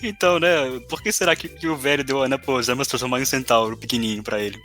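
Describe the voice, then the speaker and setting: A man's voice: medium pitch, racing, pushed and bright, soft background music. A Brazilian man in casual podcast chat, cutting in with dry, teasing remarks. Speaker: podcast member and player.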